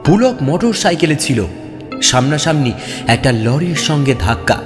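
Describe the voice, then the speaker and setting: Guy narrating a spooky story, dramatic n suspenseful, pitch moves a lot, big hall reverb, music behind. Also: moderate pace. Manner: suspenseful, expressive. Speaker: narrator of a horror audio story. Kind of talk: dramatic scripted first-person narration. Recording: long hall reverb, clear music bed.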